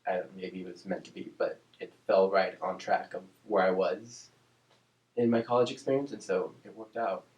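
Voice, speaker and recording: Low-voiced man, thoughtful and measured. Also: darkish tone, echoey room, low-quality recording. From Oakland, California. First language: Spanish. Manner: thoughtful, measured, many ums and restarts. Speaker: interview guest, speech-language pathologist. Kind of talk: reflective casual interview.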